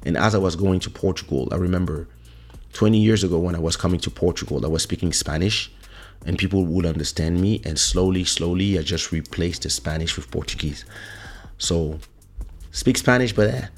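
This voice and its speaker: A man from Congo hosting a podcast, talking casually in a relaxed, rambling way and often correcting himself. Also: sits low, a little fast, soft background music. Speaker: podcast host. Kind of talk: casual monologue.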